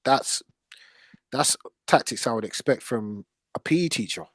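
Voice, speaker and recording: A man's voice: medium pitch, expressive, measured pace, a bit bright, dry recording. A man hosting a casual football discussion, blunt and opinionated and full of slang. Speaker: football podcast host.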